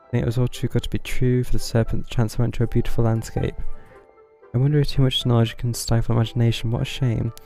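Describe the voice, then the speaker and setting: A man's voice: medium pitch, gravelly, mellow. A man streaming a video game with casual live commentary, reading dialogue aloud and mumbling through parts of it. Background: game music.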